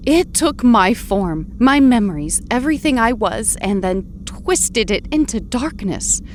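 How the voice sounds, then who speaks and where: An actress playing an anguished but determined heroine in an audio drama, with an emotional, pleading delivery. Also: female voice, on the high side, animated, a little slow, a little hiss or hum. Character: anguished, determined heroine back from presumed death.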